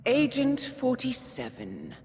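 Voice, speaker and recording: Poised, expressive spy handler. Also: female voice, a little high, creaky, breathy edge, exaggerated voice. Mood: cold, taunting. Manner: measured, articulate, cool. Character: poised, calculating female intelligence handler, coolly persuasive. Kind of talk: video game cinematic acting. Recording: music under the voice.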